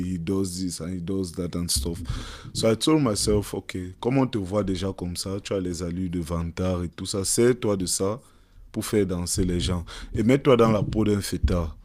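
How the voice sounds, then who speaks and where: A young man from Cameroon, a musician guest, chatting casually in an interview with a deep voice and a reflective manner. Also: darkish tone. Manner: switches between French and English, reflective.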